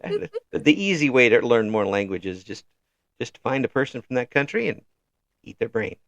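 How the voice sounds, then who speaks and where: Male American interviewer, medium pitch, jokey and halting, very dry close recording. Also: very animated, a bit bright, dry recording. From United States. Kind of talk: casual chat interview.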